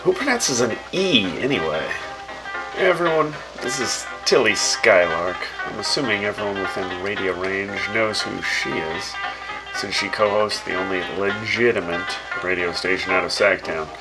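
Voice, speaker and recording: A man's voice: fairly low pitch, moderate pace, natural voice, low-quality recording. A man voicing a brash, opinionated radio host who teases his guests and makes swaggering speeches, in a fan radio drama. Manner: swaggering, teasing, lively jokes and speeches. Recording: music playing behind.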